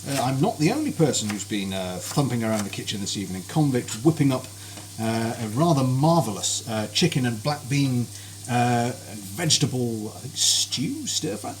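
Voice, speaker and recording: Guy rambling away while he cooks, voice bouncing up and down, pans sizzling loud behind him. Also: mid-range voice, expressive, normal speed. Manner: chatty, genteel and cheerful, greeting viewers by name. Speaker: cooking streamer. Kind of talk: live cooking stream chat. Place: home kitchen. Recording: kitchen noise with sizzling frying.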